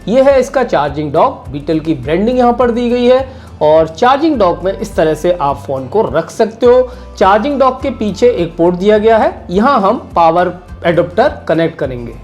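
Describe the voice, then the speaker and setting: A man's voice: sits high, a little mellow. A man narrating a step-by-step product review in an explanatory way, repeating his points. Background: background music.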